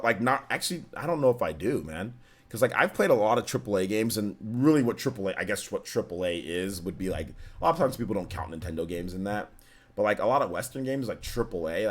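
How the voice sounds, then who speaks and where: A man's voice: on the low side, a bit bright. A man in his forties chatting casually as a streamer, loose and filler-heavy, answering chat questions and laughing easily. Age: 40s. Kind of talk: casual livestream Q&A.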